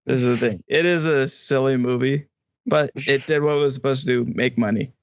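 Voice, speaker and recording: A man's voice: mid-range voice, steady tone, a little fast, no room sound. A man chatting casually as a panelist on a comedic talk show. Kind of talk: casual chat.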